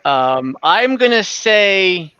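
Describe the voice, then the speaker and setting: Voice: medium pitch, very animated, very slow, a bit bright, clean tone, dull audio. A game master narrating and refereeing an online tabletop roleplaying game in a conversational, explanatory way.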